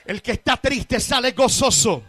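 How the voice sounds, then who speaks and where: A man's voice: very high-pitched, expressive, normal speed, fairly bright tone, dry recording. A man with a Puerto Rican accent preaching a sermon to a congregation, emphatic and repetitive, addressing his listeners directly. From Puerto Rico. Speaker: guest preacher.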